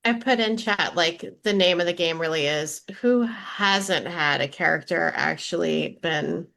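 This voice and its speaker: A woman's voice: on the low side, measured pace, creaky, bright, forceful tone. A woman who voice acts, chatting casually on a panel. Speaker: voice actor.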